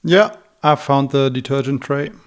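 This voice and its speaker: Casting: man as service-line customer, conversational replies, middle-pitched voice. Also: measured pace. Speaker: customer on a support call. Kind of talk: demo phone conversation.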